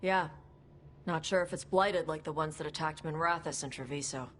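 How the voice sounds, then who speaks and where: A woman's voice: sits low, moderate pace, slight background noise, close to an everyday voice. A woman playing a blunt, confident dragon hunter in a fantasy video game, speaking matter-of-factly. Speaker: voice actor. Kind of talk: video game cutscene acting.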